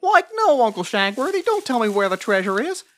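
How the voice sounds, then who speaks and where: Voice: mid-range voice, big pitch swings, a little fast, a bit bright, faint music behind. A performer voicing a lanky, cowardly young slacker guy in a cartoon, whiny and sarcastic, peppering speech with "like". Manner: whiny, says "like" constantly. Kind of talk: cartoon voice acting.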